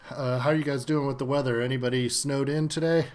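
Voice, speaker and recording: A man's voice: mid-range voice, fairly even intonation, fast talker, darkish tone. A man hosting a casual live stream from home, slightly stuffy from a cold, chatting loosely with many fillers and greeting viewers by name.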